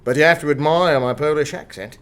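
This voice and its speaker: A man's voice: fairly high pitch, brisk, a bit bright, natural voice. An actor playing a cheeky, nonchalant male police spy who has been unmasked, pert and polite in a radio drama. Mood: cheeky nonchalance.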